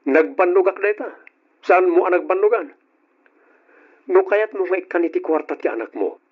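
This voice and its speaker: An actor with an Ilocano accent playing a domineering, tight-fisted but doting husband in an old radio drama. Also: male voice, sits high, normal speed, slightly rough. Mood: stern, irritated. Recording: dull, lo-fi old radio recording.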